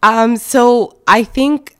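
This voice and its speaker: A woman in her thirties, a wedding planner, telling her story as a podcast guest in a relaxed way with many fillers. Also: a little high, very slow, clear, resonant tone. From born in France, raised in Canada. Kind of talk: casual interview talk.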